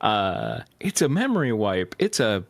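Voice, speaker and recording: A man's voice: lowish voice, wildly swooping pitch, a little slow, gravelly, no room sound. A man reading fanfiction aloud as a host, breaking off for joking banter.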